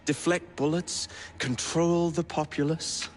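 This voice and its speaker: A man's voice: fairly high pitch, fairly even intonation, slow. A man playing a cocky, teasing young Victorian assassin in a video game, sardonic and breezy, with an English accent. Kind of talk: dramatic video game acting. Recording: game music clearly behind the voice.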